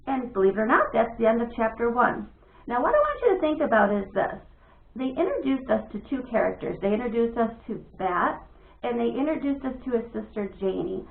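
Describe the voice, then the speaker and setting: A woman reads to children in a teacherly, deliberate way. Her voice is fairly high and very lively, with some creak. The recording is thin, from an echoey room. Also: narrow, tinny audio. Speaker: teacher reading aloud to pupils. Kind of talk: children's storybook read-aloud.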